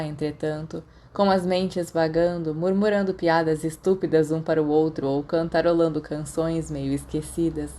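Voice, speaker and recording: A woman's voice: low-pitched, dark tone. A Brazilian woman reading scripted fiction aloud as an audiobook narrator.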